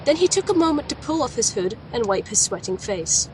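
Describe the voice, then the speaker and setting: A woman's voice: a little high, sing-song, fairly quick, a little mellow, a little hiss or hum, low-quality recording. A woman playing a watchful female alchemist in a dramatic fantasy audio drama. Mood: measured, reflective. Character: watchful female alchemist recounting her quest. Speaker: narrator and lead character. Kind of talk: dramatic first-person audio drama narration.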